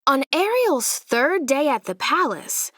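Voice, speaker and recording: A woman reads a children's story aloud with a fairly high voice and lively, swooping intonation. She takes her time, placing dramatic emphasis as a storyteller would for young listeners. The sound is close and dry. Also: slow pace, no echo. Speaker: storybook narrator.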